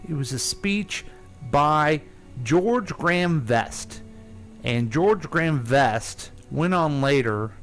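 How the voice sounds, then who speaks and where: A man's voice: fairly high pitch, lively intonation, takes it slowly, soft background music. A man hosting a casual radio talk show, telling stories in a rambling way full of fillers and restarts. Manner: rambling storyteller, thinks aloud with fillers. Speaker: radio host. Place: radio studio.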